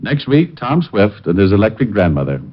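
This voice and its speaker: An American actor playing a glib, sardonic, flirtatious private detective in 1940s radio drama style. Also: male voice, fairly low pitch, normal speed. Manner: glib, sardonic, deadpan wisecracks. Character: wisecracking, flirtatious, skeptical male private detective. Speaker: lead actor in a detective series. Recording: dull, noisy vintage radio recording with clicks.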